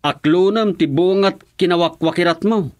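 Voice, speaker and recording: A man's voice: sits high, normal speed, dry recording, soft background music. A man with an Ilocano accent playing a village chairman in a comedy radio drama, stern and scolding.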